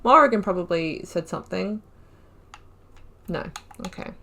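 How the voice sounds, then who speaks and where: This is a woman with a slightly low voice who hosts a game playthrough. She reads lore entries aloud. Between entries she chats in a casual way, and her sentences often trail off and restart. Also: lively intonation, normal speed, gravelly, bright, forceful tone, slight background noise. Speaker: gaming video host.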